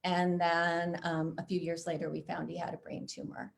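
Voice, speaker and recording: A woman's voice: on the low side, completely flat delivery, normal speed, gravelly. A middle-aged woman from Chicago, an author being interviewed, chatting with frequent self-deprecating laughs and reading from her book. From Chicago, USA. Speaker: author guest.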